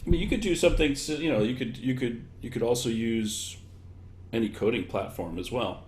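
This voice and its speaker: A man giving thoughtful, conversational interview answers, thinking aloud in halting, loose chains of examples. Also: mid-range voice, darkish tone. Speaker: interviewed guest, education technology expert. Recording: echoey room, clear background noise.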